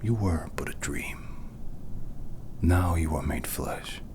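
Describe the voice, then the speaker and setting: A man voicing a solemn, possessive vampire doctor in a breathy, ceremonial ASMR whisper, reverent and coldly tender. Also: low voice. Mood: reverent, grandiose. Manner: slow, ceremonial, hushed. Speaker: ASMR roleplayer.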